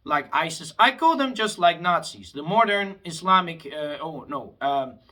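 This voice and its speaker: A man's voice: a little high, animated, normal speed, bright, forceful tone. A man, delivering a heated, rambling vlog monologue full of restarts. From Afghanistan. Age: 20s. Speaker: vlogger.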